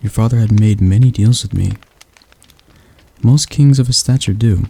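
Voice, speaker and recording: A gentle male demon tutor, voiced by a man in an ASMR roleplay, his tone dark and mellow. He talks softly and slowly, reassuring throughout, with a slight stutter. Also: lowish voice, gravelly, husky. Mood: soothing. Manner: soft, slow, soothing delivery.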